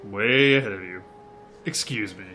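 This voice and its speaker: A man playing a deadpan, matter-of-fact colleague in a comedic audio drama. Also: fairly low pitch, moderate pace, a little hiss or hum.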